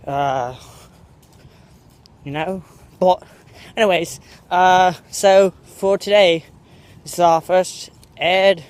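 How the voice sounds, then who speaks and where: A man's voice: high voice, fairly even intonation, takes it slowly. American guy faking a British accent. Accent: American speaker putting on a mock British accent. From United States. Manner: jokey and meandering, full of fillers and self-corrections. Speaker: vlogger host. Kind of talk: rambling walk-and-talk vlog. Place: outdoors on a school campus.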